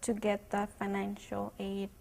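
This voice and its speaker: A young woman from Guatemala, a student, giving hesitant personal testimony with pauses and fillers. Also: medium pitch, completely flat delivery, gravelly, a little mellow, a little hiss or hum.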